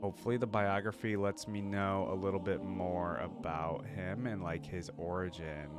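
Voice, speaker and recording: A man's voice: deep voice, fairly even intonation, normal speed. A man hosting a reaction video, giving casual, enthusiastic commentary and thinking aloud as he watches. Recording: loud orchestral music behind voice. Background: game trailer and theme music.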